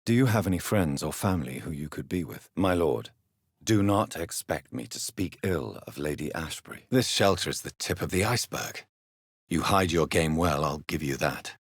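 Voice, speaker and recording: British vampire doctor, very low husky voice, grave, courteous, measured formal diction, video game drama, close dry sound. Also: male voice, expressive, no room sound, exaggerated voice. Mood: stern, intense. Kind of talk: dramatic game dialogue, formal period speech.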